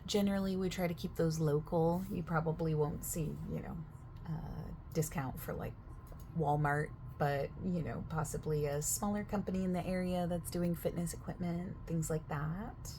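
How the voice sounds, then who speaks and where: A woman speaking softly in an ASMR roleplay as a gym staff member, chatty and overexplaining, often dropping into a whisper partway through a sentence. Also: low-pitched, fairly even intonation, normal speed, slight background noise. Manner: soft-spoken, slipping into whispers mid-sentence. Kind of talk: soft-spoken ASMR sales roleplay.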